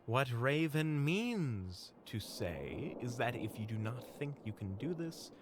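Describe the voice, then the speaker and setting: A man's voice: medium pitch, very animated, slow, background noise. A man playing a courteous, diplomatic hunter in a fantasy audio drama, with a polite, genteel manner. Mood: polite, calm. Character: courteous, diplomatic, smooth-talking monster hunter named Swan. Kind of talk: fantasy audio roleplay acting.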